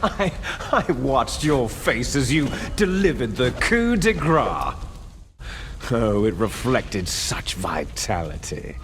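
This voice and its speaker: A man's voice: medium pitch, big pitch swings, slow pace, fairly bright tone, a little hiss or hum. A man playing a bitter, proud soldier villain in a video game, grandiose and taunting, drawing out his words dramatically. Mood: taunting, accusing.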